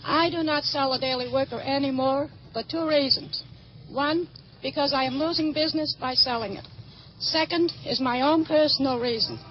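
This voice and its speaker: A woman, a newsstand operator, giving a stiff prepared statement for radio. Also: high voice. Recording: dull, noisy old field recording.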